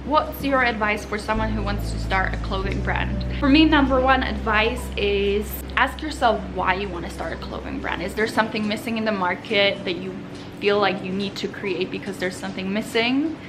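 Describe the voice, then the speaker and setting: A woman's voice: medium pitch, normal speed. A Polish-born woman whose first language is Polish, a vlogger and clothing brand founder answering viewer questions in an earnest, upbeat way. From Poland. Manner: earnest, upbeat advice-giving. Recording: voice over background music.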